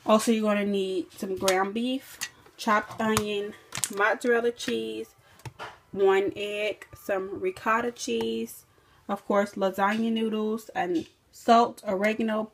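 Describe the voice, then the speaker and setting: A woman's voice: mid-range voice, slight background noise. A woman in her home kitchen, walking through a recipe step by step, thinking aloud and correcting herself as she cooks. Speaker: cooking vlogger. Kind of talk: casual recipe walkthrough. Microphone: camera on the counter.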